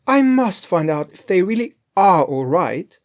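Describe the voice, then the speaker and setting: A woman's voice: lowish voice, sing-song, a little slow, voice pushed up. A storyteller voicing a cheeky, greedy female cook from a storybook, merry, emphatic and full of excuses for herself. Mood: merry, exclaiming. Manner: emphatic, exclaiming. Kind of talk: storybook character voice.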